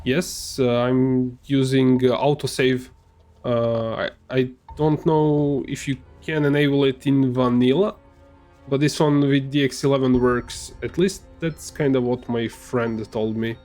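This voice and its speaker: A man's voice: medium pitch, fairly even intonation, a little slow, a little mellow, soft background music. A man whose first language is Serbian, casually commenting on a game he is playing, in a hesitant way with many fillers and restarts. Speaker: gamer streamer. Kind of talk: casual let's play commentary.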